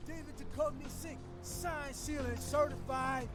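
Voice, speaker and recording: A man's voice: very high-pitched, fairly even intonation, moderate pace. A man voicing a pushy, hyped-up street dealer in a video game, hawking junk with repetitive sales patter. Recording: game dialogue under loud music.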